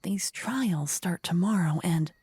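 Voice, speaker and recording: A woman playing an indignant, blunt young necromancer rival in a fantasy ASMR roleplay, hushed and insistent. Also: lowish voice, lively intonation, slow, breathy edge, dry recording, full character voice. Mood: insistent, exasperated. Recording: close dry ASMR microphone.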